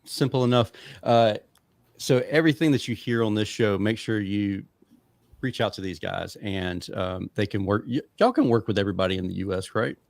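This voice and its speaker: A man's voice: on the low side, moderate pace, gravelly, darkish tone, dry recording. A man hosting a podcast, chatting loosely and jokingly with lots of fillers. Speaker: podcast host. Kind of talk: casual interview chat.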